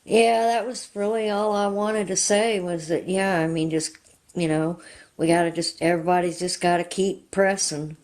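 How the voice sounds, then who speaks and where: A woman's voice: lowish voice, steady tone, moderate pace, a little mellow. A woman in her forties calling in to a livestream. She talks hesitantly, with many fillers. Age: 40s. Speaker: caller. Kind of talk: casual chat.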